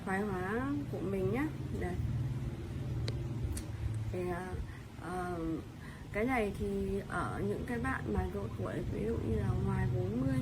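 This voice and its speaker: A woman's voice: mid-range voice, background noise. Chatty woman reviewer. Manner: chatty, fills pauses with hesitations. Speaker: product reviewer. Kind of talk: casual product review.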